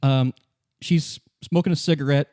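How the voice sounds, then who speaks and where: A man narrating a tabletop game as game master, improvising in a halting, self-correcting way. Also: on the high side, fairly even intonation, darkish tone, no echo, clean studio sound.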